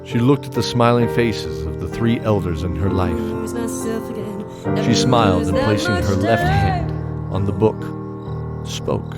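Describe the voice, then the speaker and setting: A man's voice: lowish voice, measured pace, loud music behind the voice. A man narrating a scripted fantasy audio drama. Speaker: narrator and cast member.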